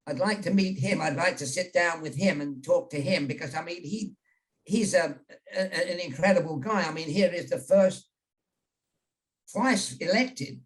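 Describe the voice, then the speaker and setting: A man's voice: a little high, moderate pace. Older British man telling rambling stories. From England. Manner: rambling, frequent restarts and repeated words. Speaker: interview guest, journalist. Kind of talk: casual storytelling chat. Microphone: video call.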